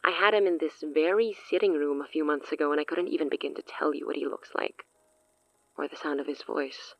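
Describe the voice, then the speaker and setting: Woman acting a low-voiced, smug villainous sorceress. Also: steady tone, fairly quick, no echo, dull audio. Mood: wry, ominous. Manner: theatrical, taunting, chuckling condescension. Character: smug, menacing villainous sorceress, amused and theatrical. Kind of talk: audio drama acting.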